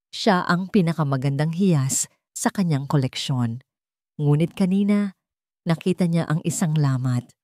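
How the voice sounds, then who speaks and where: A woman with a Filipino accent reads a scripted dramatic story as an audiobook narrator, phrasing it in a measured, deliberate way. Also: low voice, dark tone, no echo, clean studio sound.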